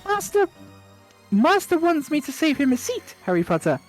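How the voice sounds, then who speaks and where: A woman voicing a timid female house elf servant with a high, quivering squeak and broken, deferential grammar. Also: very animated, moderate pace, soft background music. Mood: timid servile.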